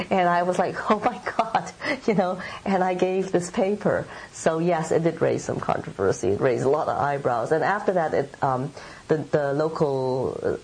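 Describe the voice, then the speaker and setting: A woman's voice: low voice, a little mellow, slightly rough, poor audio. A middle-aged woman writer from Hong Kong, answering interview questions in a thoughtful, meandering way with many fillers. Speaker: writer and editor.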